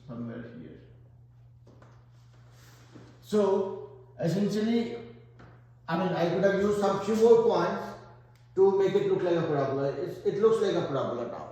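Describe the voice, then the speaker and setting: A man's voice: on the high side, dark tone. A man with an Indian accent teaching a math lesson, working through problems step by step and thinking aloud. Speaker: math tutor. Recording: very echoey room.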